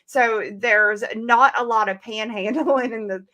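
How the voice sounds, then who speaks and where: A woman's voice: a little high, unhurried, bright, forceful tone. A young woman with a Southern American accent, chatting casually as a guest and laughing often. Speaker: comic creator guest. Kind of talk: casual conversation.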